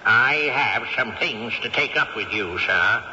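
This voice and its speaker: A proud old ghost haggler, very bright forceful voice, big reverb, old muffled radio show. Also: male voice, fairly low pitch, unhurried. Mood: stern, bargaining. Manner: haughty, theatrical, formal diction. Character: indignant old great-grandfather ghost, shrewd haggler. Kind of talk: vintage radio drama acting. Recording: dull, noisy old radio, echoey hall.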